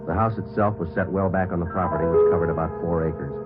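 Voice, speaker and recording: A man's voice: low-pitched, steady tone, quick pace, narrow, tinny audio, close to an everyday voice. A man acting a terse, unflappable police sergeant with an American accent in a 1950s radio drama, clipped and matter-of-fact. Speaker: actor playing lead police detective and narrator. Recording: band-limited old radio recording with music.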